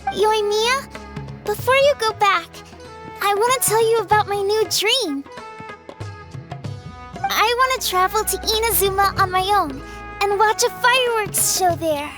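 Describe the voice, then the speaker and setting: A woman voicing a tiny, chirpy, childlike fairy companion in an anime-style game, squeaky and cutesy. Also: unhurried, pauses often. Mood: eager. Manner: refers to self in third person, cutesy. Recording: background music under the voice.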